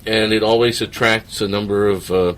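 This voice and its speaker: A man's voice: lowish voice, fairly even intonation, normal speed, fairly bright tone, a little hiss or hum, low-quality recording. A man hosting a radio interview, prompting guests with short leading questions. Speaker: radio show host.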